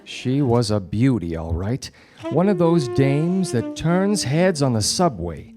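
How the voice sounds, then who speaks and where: Man playing a wry 1940s gumshoe with lively pitch. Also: slow pace. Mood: hard-boiled, narrating. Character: wry, patient 1940s gumshoe detective narrating his case. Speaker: actor playing the lead and narrator. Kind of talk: 1940s detective radio play. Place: theatre stage before a studio audience. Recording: live radio play, music underneath.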